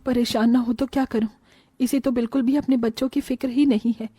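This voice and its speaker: A woman playing a stern, worried mother-in-law in an animated family story, speaking in an emphatic, scolding way. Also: a little high, a little fast, husky. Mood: worried, complaining. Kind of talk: animated Hindi moral story dialogue.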